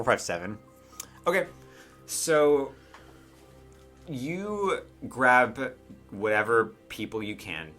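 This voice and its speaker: A man's voice: fairly low pitch, pushed and bright. A man working as game master, narrating a tabletop game in casual, improvised chat with asides and hesitations.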